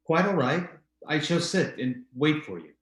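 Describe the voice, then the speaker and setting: A man's voice: fairly high pitch, big pitch swings, a bit bright. A man playing a reasonable but uneasy husband who denies the supernatural, speaking formally in old-time radio drama style. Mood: polite. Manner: formal, measured, halting when uneasy. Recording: roomy, echoey voice recording.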